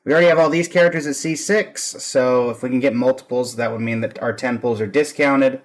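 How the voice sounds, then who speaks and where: A man's voice: mid-range voice, fairly even intonation, a little fast. A man giving casual live-stream game commentary as the host, with a dry, resigned way of talking. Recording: music playing behind the voice. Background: background music.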